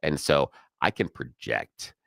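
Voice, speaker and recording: A man's voice: rumbling low, slow pace, vocal fry, dry recording, clean studio sound. A middle-aged man co-hosting a radio talk show, telling stories in casual chat with a big, projecting voice and acting out the people he quotes. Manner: animated storyteller who acts out quoted voices. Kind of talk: casual on-air chat and storytelling.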